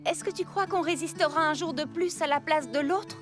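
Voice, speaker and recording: A woman voicing an upbeat young woman in a French-dubbed cartoon. She speaks in an animated, expressive way, with music underneath. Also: sits high, brisk, close to an everyday voice. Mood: excited.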